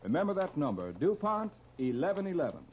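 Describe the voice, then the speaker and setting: A male American announcer from 1930s radio, speaking in a fairly high voice. His delivery is polished and emphatic, like scripted advertising copy. The sound is muffled, with some disc noise. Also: very animated, a little fast. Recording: dull, noisy 1939 radio transcription disc.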